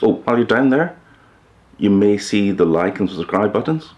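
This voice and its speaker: A man presenting an informal explainer video in a measured, matter-of-fact way. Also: on the low side, lively intonation, a little mellow. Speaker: host of a hi-fi upgrade video channel.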